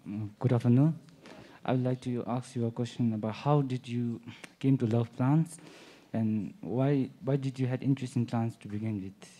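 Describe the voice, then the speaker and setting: A man in an audience asking a polite, deliberate question into a microphone. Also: mid-range voice, steady tone, warm tone. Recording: audience microphone with background noise.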